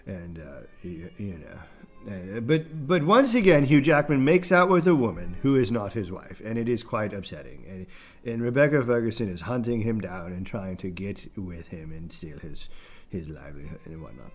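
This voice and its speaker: A man voicing a pompous, easily distracted British film critic in a halting, rambling comic monologue. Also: fairly low pitch, sing-song, breathy edge, heavily acted. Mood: rambling, pleased. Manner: halting, rambling, self-important. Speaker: podcast film reviewer.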